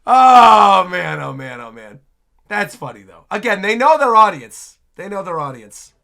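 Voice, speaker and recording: A man hosting a casual reaction show, commenting on game trailers in an animated, exclaiming way with playful mock outrage. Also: a little high, normal speed, creaky.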